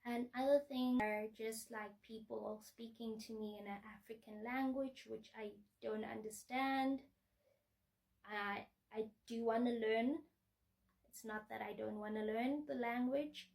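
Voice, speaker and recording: A woman vlogger speaking to the camera in an earnest, measured, reflective way. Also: on the high side, creaky, far from the mic, rough recording. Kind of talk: personal vlog monologue.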